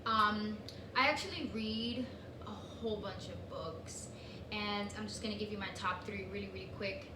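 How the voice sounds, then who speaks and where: A woman whose first language is Spanish chats casually as a co-host, full of fillers and restarts. She sits back from the mic in an echoey room. A steady hiss of noise runs under her voice. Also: mid-range voice, normal speed, distant mic. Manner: chatty, lots of fillers and restarts. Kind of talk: casual chat.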